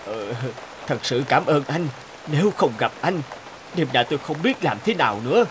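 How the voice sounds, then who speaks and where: A man's voice: fairly high pitch, big pitch swings, normal speed, background noise. A man playing an anxious, grateful stranded traveller in a cartoon, speaking plaintively and hesitantly. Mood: relieved, grateful. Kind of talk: cartoon voice acting.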